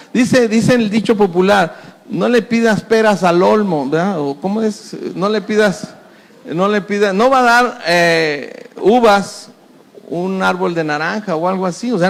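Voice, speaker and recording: Reverberant, high-voiced pastor preaching. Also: male voice. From Mexico. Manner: conversational, with frequent repetitions and folksy sayings. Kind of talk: sermon. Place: church hall. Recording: reverberant church hall.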